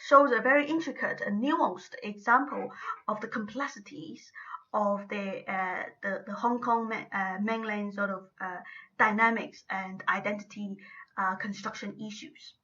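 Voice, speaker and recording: Bright-voiced woman lecturing, lo-fi sound. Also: medium pitch. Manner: explanatory, often checks in with listeners. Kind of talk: academic lecture.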